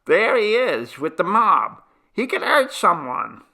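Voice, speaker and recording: A man doing an anxious old villager, raised pitch. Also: big pitch swings, slow pace, creaky, pushed and bright, full character voice. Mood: urgent worry. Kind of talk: audiobook story acting.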